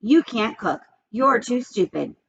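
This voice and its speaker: A woman's voice: on the high side, expressive, slow, gravelly, slightly rough, highs cut off, pitched above the performer's own voice, exaggerated voice. A woman voicing a stubborn, contrary schoolgirl from a children's storybook, blunt, whiny and insistent. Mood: scornful. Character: stubborn, sour, contrary schoolgirl who insists she's right.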